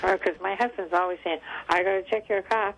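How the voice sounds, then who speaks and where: A woman calling in to a radio show, chatting in an animated way over the phone. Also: mid-range voice, fairly quick, gravelly. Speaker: caller. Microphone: telephone. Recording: muffled phone line.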